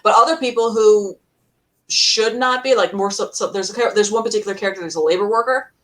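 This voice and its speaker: A woman panelist talking casually and enthusiastically about audio dramas in a rambling, animated way. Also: mid-range voice, quick pace. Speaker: podcast panelist. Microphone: distant computer mic on a virtual panel. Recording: distant microphone.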